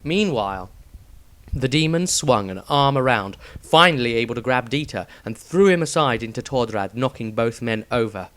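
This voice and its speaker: Male narrator, scripted fantasy storytelling, dramatic reading, medium pitch, dry sound. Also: a bit bright, no room sound.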